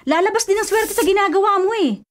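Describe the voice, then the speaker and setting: A woman's voice: very high-pitched, quick pace. A woman playing a superstitious, easily irritated older sister in a radio drama, scolding and insistent. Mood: bossy, irritated. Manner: scolding, insistent, anxious about bad luck.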